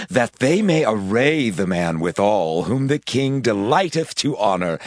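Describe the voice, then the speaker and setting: A slow, pompous scheming minister. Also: male voice, lowish voice, no echo, studio quality. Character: proud scheming royal minister, male adult. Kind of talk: dramatized scripture reading.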